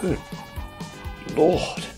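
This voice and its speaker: A man's voice: very slow, warm tone. A man delivering scripted, humorous video game commentary in a theatrical, sardonic way, full of flowery rhetorical questions. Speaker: video essayist, narrator. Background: background music.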